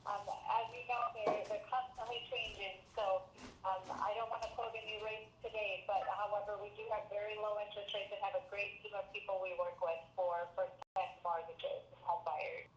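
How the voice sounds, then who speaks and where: A woman's voice: medium pitch, little pitch movement, normal speed. A woman working as a credit union representative, answering member questions on a conference call. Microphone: conference call connection. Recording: echoey, muffled webinar call with background noise.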